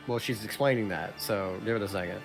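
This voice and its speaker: A man's voice: brisk, vocal fry. Man reading and joking over game music. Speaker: amateur voice reader in a game playthrough. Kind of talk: reading game dialogue aloud with joking chat among friends. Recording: low-quality recording with music behind.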